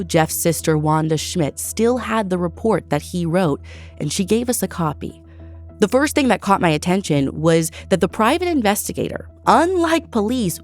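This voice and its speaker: An American woman hosting a true crime podcast, reading a scripted narration of a case. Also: mid-range voice.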